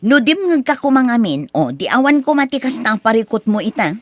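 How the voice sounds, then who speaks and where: A woman's voice: on the high side, big pitch swings, a little fast, no room sound. A woman voicing a firm wife who lectures her husband in a radio drama. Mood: lecturing.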